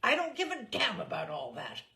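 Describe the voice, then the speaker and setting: A woman's voice: on the low side, wildly swooping pitch, normal speed, vocal fry, pushed and bright, exaggerated voice. A woman voicing a blustering, outraged old English general in a storybook reading, clipped and indignant. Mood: furious blustering.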